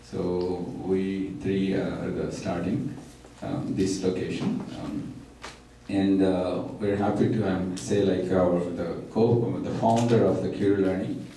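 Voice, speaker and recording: A man introducing a guest speaker, halting and full of fillers. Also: low voice, little pitch movement, mellow. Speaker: local center partner introducing the speaker. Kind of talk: hesitant introduction speech. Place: large echoey room. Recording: echoey room from far off, faint noise.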